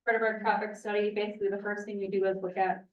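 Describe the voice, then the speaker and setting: A woman engineer presenting a traffic study at a public meeting, with a quiet voice and a soft, explaining manner full of ums. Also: mid-range voice, little pitch movement, fast talker, recorded from a distance, dull audio. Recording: roomy hall, from off the mic.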